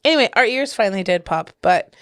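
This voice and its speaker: A woman's voice: medium pitch, lively intonation, fast, fairly bright tone, clean tone, no room sound, clean studio sound. A woman co-hosting a casual podcast with her partner, chatty and giggly, sounding slightly stuffy from blocked sinuses. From big city. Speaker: podcast co-host. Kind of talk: casual chat.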